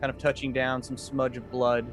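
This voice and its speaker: A man running a tabletop game, improvising descriptions in a halting, conversational, explanatory way. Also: mid-range voice, completely flat delivery, soft background music. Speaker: game master.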